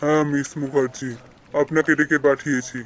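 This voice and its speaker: Formal male boss, slightly high voice acted. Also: level tone, fairly quick, lightly acted. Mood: businesslike. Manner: formal, authoritative. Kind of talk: animated cartoon story acting.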